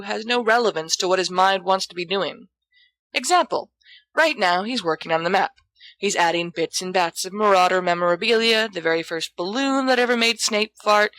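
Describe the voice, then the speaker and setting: Bright-voiced woman narrating a story. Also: medium pitch, no echo, low-quality recording. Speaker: audiobook reader of fan fiction. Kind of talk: expressive scripted story narration.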